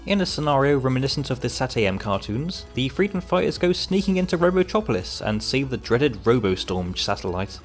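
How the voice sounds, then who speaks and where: A man's voice: mid-range voice, very animated, fairly quick, hardly any pauses, soft background music. A man delivering a scripted review narration as a reviewer, with sardonic, wry asides. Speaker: comic book reviewer.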